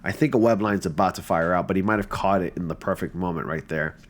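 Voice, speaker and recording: Stuffy, deep-voiced man chatting. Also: fast talker. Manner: chatty and enthusiastic, with many fillers. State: stuffy from a cold. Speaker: YouTuber reviewing fan photo submissions. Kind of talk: casual commentary.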